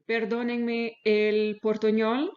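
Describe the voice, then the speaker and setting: A woman who speaks Spanish as a second language, presenting a research lecture in a webinar with careful, halting phrasing. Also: fairly high pitch, level tone. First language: not Spanish, speaks Spanish as a second language. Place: online webinar. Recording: dull, lo-fi webinar audio.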